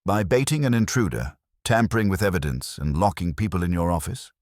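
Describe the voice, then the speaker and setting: Guy doing a grumbly weary police inspector in an audiobook, blunt and suspicious, very low, animated. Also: brisk, dry recording, clean studio sound. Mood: demanding, annoyed.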